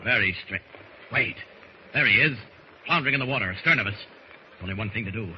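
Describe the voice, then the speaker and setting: An actor playing a confident, resolute superhero thinking aloud, in the manner of 1940s radio drama. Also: male voice, lowish voice, lively intonation, fast, lightly acted. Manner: declarative, resolute soliloquy. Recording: muffled noisy old radio recording.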